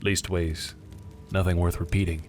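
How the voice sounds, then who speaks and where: A man's voice: deep voice, flat delivery, moderate pace, darkish tone, a little hiss or hum, close to an everyday voice. A man voicing a grave, weary middle-aged sergeant major, terse and solemn. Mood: guarded, offhand.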